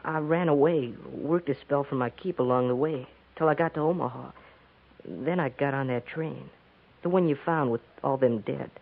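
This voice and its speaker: An old, narrow-band radio western recording carries an American farm boy on the run. He speaks in a husky voice, with respectful, halting country phrasing and an eager sincerity. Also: medium pitch. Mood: anxious confession. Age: child or teenager. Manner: folksy rustic grammar, respectful, halting. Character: earnest runaway farm boy of thirteen, polite, eager. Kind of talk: 1950s radio western acting.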